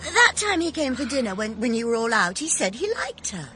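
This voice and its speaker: An actress playing a gentle, wistful middle-aged English mother, speaking tentatively in a radio drama. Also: on the high side, big pitch swings, brisk, very noisy. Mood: wistful, hesitant. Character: wistful, gentle widowed mother, hesitant and house-proud.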